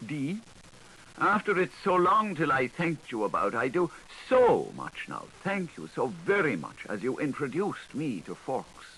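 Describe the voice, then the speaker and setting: A man's voice: mid-range voice, huge pitch swings, measured pace, sharp tone, rough recording. A man reading literary fiction aloud as an audiobook narrator, in a theatrical, playful manner. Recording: older 1980s recording with audible noise.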